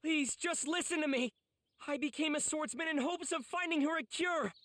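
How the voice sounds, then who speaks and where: High-pitched, earnest teenage boy. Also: moderate pace, sharp tone, no room sound, studio quality. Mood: desperate, earnest. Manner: pleading, heartfelt. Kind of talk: English anime game voice acting.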